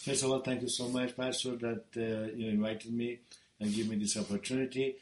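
Middle-aged iranian guy, farsi native, earnest halting english, echoey room. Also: mid-range voice, level tone, slight background noise. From Iran. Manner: earnest, simple broken English phrasing. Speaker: guest pastor. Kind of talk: telling his testimony in conversation.

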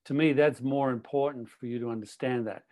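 A Canadian-born man with an Australian accent, sharing his personal testimony as a guest speaker in an earnest, halting way with many restarts. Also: medium pitch, fairly even intonation, darkish tone.

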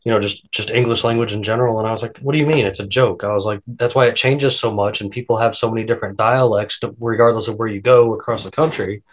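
A man's voice: lowish voice, fairly even intonation, fast talker, few pauses, poor audio. A man co-hosting a podcast who rambles and repeats words as he thinks aloud. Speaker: podcast co-host. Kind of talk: casual chat.

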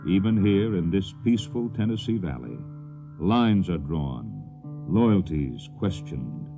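A man giving solemn, measured, portentous scripted narration as host of a radio drama. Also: deep voice, big pitch swings, creaky. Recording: dull radio tape. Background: plucked guitar music.

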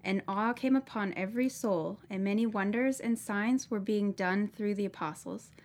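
A woman's voice: medium pitch, moderate pace. Woman reading scripture steadily. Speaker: scripture reader.

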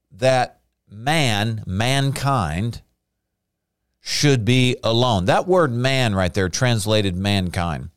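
Male Bible teacher, sermon delivery, clear resonant voice, emphatic. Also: fairly low pitch, no echo, studio quality. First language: not Hebrew. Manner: explains Hebrew words, emphatic bursts.

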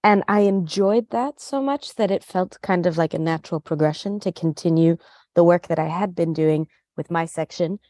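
A woman in her thirties from the United States, answering interview questions in a measured, articulate way. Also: fairly low pitch, dark tone, no echo. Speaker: student council co-president.

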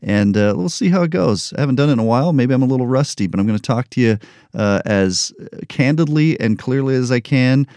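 A man's voice: medium pitch, lively intonation, a little fast, mellow, no room sound. Man in his forties, podcast host, unscripted motivational talk, self-joking, dry close sound. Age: 40s. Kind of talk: solo motivational podcast monologue.

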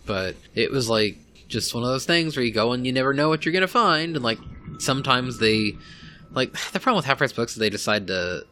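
A man's voice: medium pitch, animated, a little fast, bright, forceful tone, slight background noise. A man in casual group chat on a podcast, sarcastic and profane, often mocking others in put-on voices.

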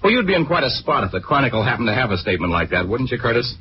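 Actor as brash young reporter, noisy old radio. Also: male voice, medium pitch, sing-song, racing, natural voice. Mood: taunting, angry. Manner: combative, slangy, taunting. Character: brash, cocky young male newspaper reporter with a grudge. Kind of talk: 1940s radio drama acting. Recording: noisy, muffled 1940s radio recording.

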